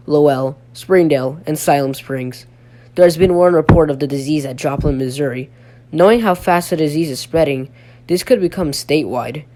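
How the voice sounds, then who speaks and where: A man playing a sick, halting local radio host who reads breaking news in an amateur audio drama. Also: fairly high pitch, warm tone, lightly acted. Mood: dutiful, concerned. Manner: halting, stumbling, breaking off to apologize. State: sick, coughing. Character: ailing male local radio news host, dutiful but fading. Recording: noisy home recording with hum.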